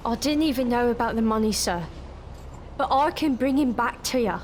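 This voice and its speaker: Woman playing a high-pitched, earnest working girl. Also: moderate pace, a little hiss or hum, close to an everyday voice. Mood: urgent, nervous. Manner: earnest, plain-spoken. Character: weary, determined, kind young working-class London woman. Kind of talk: audio drama acting.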